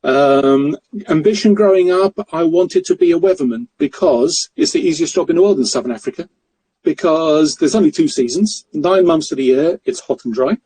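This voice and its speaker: A middle-aged man from Mozambique, a podcast guest telling stories in a relaxed, self-deprecating way with frequent chuckles. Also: on the high side, normal speed, husky, rough recording. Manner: jokey, self-deprecating storyteller who laughs often. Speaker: guest, currency broker. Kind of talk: casual interview chat.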